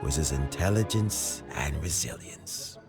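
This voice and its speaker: An actor playing a dignified, weary elderly statesman in a video game, speaking in a measured, reflective, formal way. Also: extremely low pitch, lively intonation, music in the background, heavily acted. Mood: reflective, earnest. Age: older.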